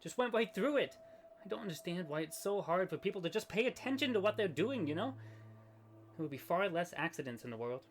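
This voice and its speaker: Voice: mid-range voice, big pitch swings, brisk, lightly acted. A performer playing a talkative, opinionated grim reaper in an audio drama, conversational and matter-of-fact.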